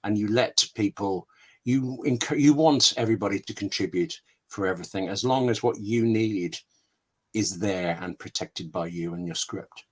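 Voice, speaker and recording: A man's voice: fairly low pitch, moderate pace. A man speaking as a guest scriptwriter in a conversational interview, talking emphatically and wandering into asides and anecdotes. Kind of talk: conversational interview talk about craft.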